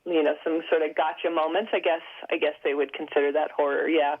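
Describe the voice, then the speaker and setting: A woman's voice: deep voice, fairly even intonation, brisk, breathy edge. A woman guest on a radio talk show, speaking casually with fillers and easy laughs over a phone line. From United States. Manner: chatty, laughs easily, hesitant fillers. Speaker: guest actress. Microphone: telephone.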